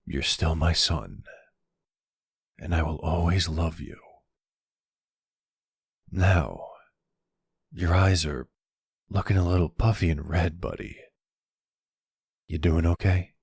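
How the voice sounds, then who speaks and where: Man playing a very deep, gravelly mafia boyfriend. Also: slow pace, pauses often, no room sound, clean studio sound, exaggerated voice. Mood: tender, forgiving. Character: gentle older mafia man, devoted boyfriend and father. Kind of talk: intimate ASMR boyfriend roleplay.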